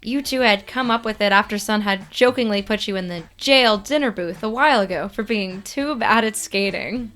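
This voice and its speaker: A woman reading a story aloud as expressive, scripted second-person narration. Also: mid-range voice, normal speed, hardly any pauses, faint music behind. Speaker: podfic reader.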